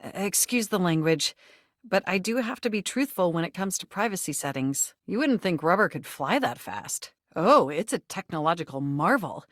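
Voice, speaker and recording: A woman voicing a helpful, earnest female computer in a video game, speaking formally and precisely but getting excitable and slangy. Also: on the low side, normal speed, no room sound, clean studio sound. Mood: cheerful, formal. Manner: formal, precise wording with bursts of giddy slang. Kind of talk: video game character voice acting.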